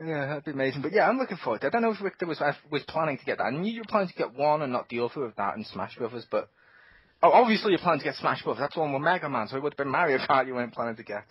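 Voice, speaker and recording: A man co-hosting a casual gaming podcast, excitable and laughing often, with sudden high squeals. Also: racing, slightly rough, dry recording. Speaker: podcast co-host. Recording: dull lo-fi home podcast mic.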